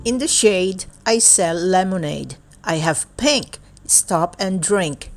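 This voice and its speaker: A woman reading a picture book aloud for young children in a deliberate, playful way, in short separated phrases. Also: fairly low pitch, animated, soft background music. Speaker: children's storybook reader.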